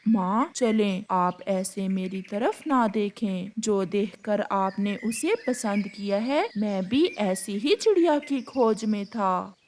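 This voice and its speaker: A woman playing a devoted young male bird in a cartoon, gentle and earnest. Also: mid-range voice, animated, slow, few pauses, a little hiss or hum.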